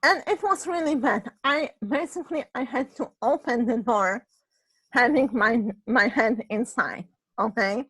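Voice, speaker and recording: A woman from Poland with a Polish accent and a husky voice, telling a personal story in casual chat, halting and restarting her phrases and often adding "right?". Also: a little high, a bit bright, dry recording, poor audio. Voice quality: husky voice, ongoing voice problem.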